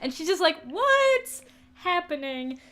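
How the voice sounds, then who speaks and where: A woman with a distinctly high voice co-hosts a casual book podcast. She is giggly and informal, retelling scenes in slangy language and playfully putting on the dialogue as she goes. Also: very animated, slow, pushed and bright. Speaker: podcast co-host. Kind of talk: casual book discussion chat.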